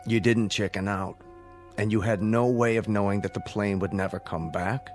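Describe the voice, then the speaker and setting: A man acting an American psychiatrist, calm and thoughtful, with a fairly deep voice. He probes in a measured way, in radio drama style. Faint music plays behind him. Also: close to an everyday voice. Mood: probing, reassuring. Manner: measured, probing questions. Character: calm, probing, reflective male psychiatrist.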